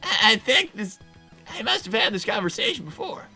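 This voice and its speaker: A woman's voice: fairly high pitch, big pitch swings, fairly quick, bright, forceful tone, husky, soft background music, full character voice. A woman voicing a mild young male fox who is hesitant, stammering and conciliatory, in improvised livestream comedy. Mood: bemused.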